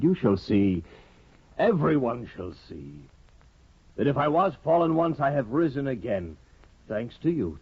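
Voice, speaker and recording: An earnest troubled scholar, husky, muffled 1950 radio recording. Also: male voice, fairly low pitch, exaggerated voice. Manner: earnest, intense. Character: brooding, reformed young scholar, intense. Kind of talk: 1950s radio acting.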